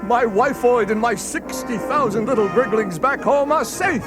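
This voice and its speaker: Man acting as cheerful lizard alien navigator, high voice, hearty theatrical delivery, read-along adventure, prominent music behind. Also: moderate pace. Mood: jubilant. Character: jovial lizard-like alien navigator and loyal copilot. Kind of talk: read-along storybook dramatization. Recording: loud music and effects behind.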